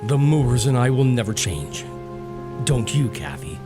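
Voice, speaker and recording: A man's voice: medium pitch, sing-song, measured pace, over music. An actor playing a bitter, proud young stable boy in love, intense and brooding, in a period audio drama. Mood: tender, yearning.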